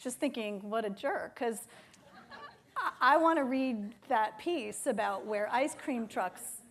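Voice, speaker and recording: A woman's voice: a little high, lively intonation, slow, a bit bright. A woman interviewing someone on stage in a conversational way, with hesitant, restarting phrasing. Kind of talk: live onstage literary interview. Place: theater stage, public talk.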